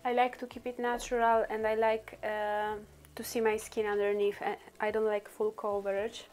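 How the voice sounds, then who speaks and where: A woman's voice: medium pitch, level tone, normal speed, darkish tone. A woman whose first language is Polish, chatting through a makeup tutorial with many fillers and laughs. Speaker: beauty vlogger.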